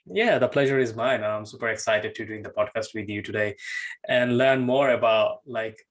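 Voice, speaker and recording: Guy hosting a podcast, lots of likes and uhs, halting. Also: on the low side, lo-fi sound. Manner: halting, filler-heavy, many "like"s. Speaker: podcast host. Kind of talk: interviewing a guest.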